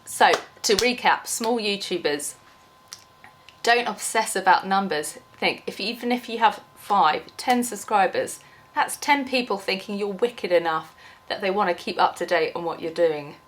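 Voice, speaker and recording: A woman's voice: medium pitch, unhurried. A young woman with a cold, chatting in an unscripted, rambling vlog, full of ums and restarts. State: has a cold, losing her voice. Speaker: small YouTuber.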